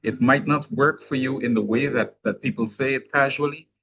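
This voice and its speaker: A man with a Guyanese accent, giving a measured, explanatory teaching talk with touches of gentle humor. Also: mid-range voice. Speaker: speaker on anger management.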